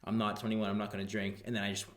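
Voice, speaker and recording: A man's voice: fairly low pitch, racing. Laid-back man rambling in casual chat. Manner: relaxed, rambling, many fillers and laughs. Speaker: guest, personal trainer and coach.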